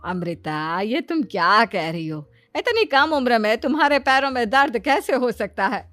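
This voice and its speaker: A woman's voice: fairly high pitch, lively intonation, moderate pace, fairly bright tone, dry recording. A woman playing a proud, questioning middle-aged mother-in-law in a cartoon family drama. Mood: puzzled, questioning.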